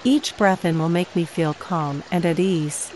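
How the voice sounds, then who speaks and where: Female meditation narrator, lowish register, measured scripted affirmations, noisy ambient bed with trickling water. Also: darkish tone.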